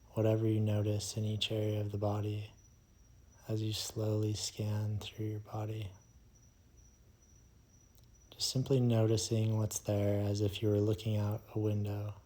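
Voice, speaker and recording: A man guides a meditation in a dark, mellow voice. He speaks very slowly and almost without any rise or fall in pitch. His manner is soothing and hushed, and he leaves long pauses between phrases.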